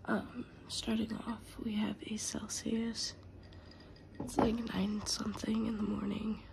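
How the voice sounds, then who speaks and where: A woman's voice: fairly high pitch, monotone, a little slow, vocal fry. A young woman in her twenties, a college student with a cold, chatting casually to a phone camera at home, her throat scratchy and her nose congested. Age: 20s. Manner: whispering softly. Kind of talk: casual vlog chat to camera. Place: small room at home, indoors. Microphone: phone camera held close.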